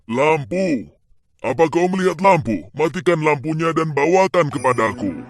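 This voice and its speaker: A man's voice: on the high side, expressive, normal speed. A man voicing a greedy, threatening bearded stranger in a children's storybook, coaxing and commanding. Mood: demanding. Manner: commanding, coaxing then menacing.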